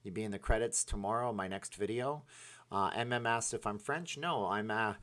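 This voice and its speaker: A talkative man with a slightly low voice hosts a casual livestream, reading out chat messages. He rambles and restarts his sentences, piling on fillers as he answers viewers. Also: normal speed, a bit bright. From part Ukrainian, Polish, Irish, Scottish heritage.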